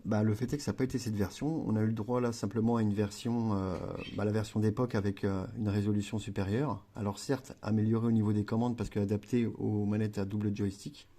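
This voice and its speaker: A man's voice: fairly low pitch, steady tone, quick pace, hardly any pauses, warm tone. A man chatting casually through a gameplay tutorial, rambling with frequent fillers and self-corrections. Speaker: gaming video creator.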